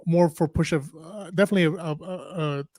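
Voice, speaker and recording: A man's voice: fairly high pitch, steady tone, fairly quick, a little mellow, dry recording, studio quality. A man moderating a film festival panel, asking long rambling questions full of fillers and restarts. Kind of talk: casual panel discussion.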